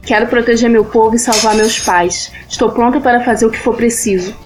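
A higher-pitched young warrior girl, acted by a woman, speaks over faint music. She is earnest and stubbornly brave, with the urgency of a fantasy adventure heroine. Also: fairly even intonation, brisk, lightly acted, poor audio. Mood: determined. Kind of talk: dramatized fantasy audio story.

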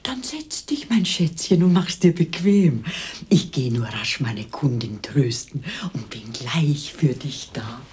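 An older woman playing a cooing, pious, boastful fortune-teller and former madam in a radio drama, with a theatrical, husky delivery. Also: a bass voice, animated, takes it slowly, a little mellow, slight background noise, heavily acted. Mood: cooing, welcoming.

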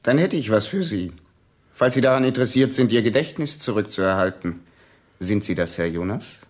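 An actor playing a young hitman who poses as a courteous, smooth salesman in a radio drama. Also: on the low side, lively intonation, moderate pace, lightly acted. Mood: smooth, businesslike. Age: young adult. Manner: courteous, businesslike, insistent.

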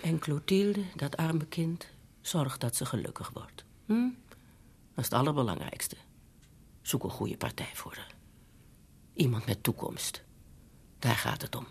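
An elderly bourgeois mother in a radio drama, fretful and meddling. Her voice is husky and creaky and swoops widely as she nags, wheedles and hesitates, anxious about appearances. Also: very deep voice, sing-song, a little slow, pauses often, whispered, heavily acted. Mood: pleading, insistent. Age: older. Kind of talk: Dutch radio drama acting.

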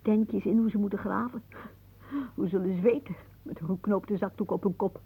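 An actress playing a weary, bitter older aunt in a radio drama, musing theatrically. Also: female voice, medium pitch, steady tone, a little fast, husky. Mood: dry, spiteful. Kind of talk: radio drama acting, monologue-like. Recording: muffled, narrow-band old radio recording.

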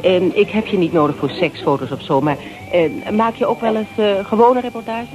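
An actress playing a firm, direct young woman in a Dutch radio drama, speaking in a brisk, assertive way. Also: medium pitch, lo-fi sound, music in the background, close to an everyday voice. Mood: businesslike.

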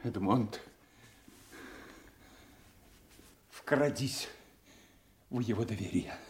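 A man's voice: fairly low pitch, slow, slight background noise. An older man acting an aging, gullible nobleman father in a classical audio play, emphatic and theatrical, anguished and suspicious. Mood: grieving, foreboding. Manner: theatrical, emphatic, swinging from hushed to outraged.

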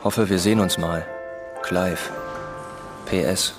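An actor playing a weary, offhand rich playboy in a radio drama, voicing a letter in a curt, casual way. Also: male voice, low voice, steady tone, takes it slowly. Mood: fed up, offhand. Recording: radio play, music behind.

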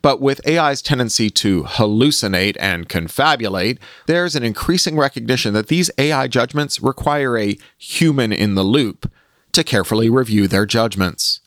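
A man hosting a podcast, reading a scripted opinion column aloud in an articulate, measured and emphatic way. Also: mid-range voice, lively intonation, a bit bright, no echo, studio quality. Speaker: podcast host reading own magazine column.

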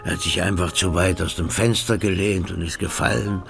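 A man's voice: deep voice, fairly even intonation, fairly quick, vocal fry, music in the background. An actor playing a blunt, cynical local man who brushes things off with coarse words. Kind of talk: radio drama acting.

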